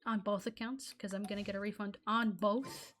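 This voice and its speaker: A woman's voice: medium pitch, steady tone, normal speed, a little hiss or hum. A woman whose first language is Arabic, ranting casually to her viewers in a rambling, exasperated way that keeps breaking off mid-sentence. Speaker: gaming vlogger. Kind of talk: casual rant to camera.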